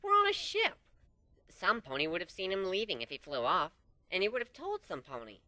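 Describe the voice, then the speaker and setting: A man's voice: high voice, wildly swooping pitch, fairly quick, sharp tone, dry recording, voice pushed up, heavily acted. Man doing a fussy skeptical pony mare. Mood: exasperated. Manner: exasperated, fussy, protesting. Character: prim, skeptical young unicorn mare. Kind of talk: amateur dramatic story reading.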